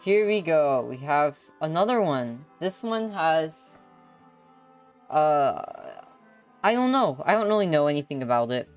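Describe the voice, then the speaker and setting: A woman livestreaming visual novel readings, chatting casually in an excitable, exclamatory way. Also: deep voice, measured pace, clear, resonant tone, soft background music. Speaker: streamer.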